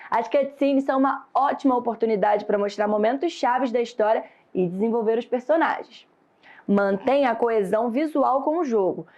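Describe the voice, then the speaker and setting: A woman's voice: high voice, darkish tone, dull audio. A woman with a Brazilian accent narrating a scripted lesson as an instructor, in a didactic way. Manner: clear, didactic.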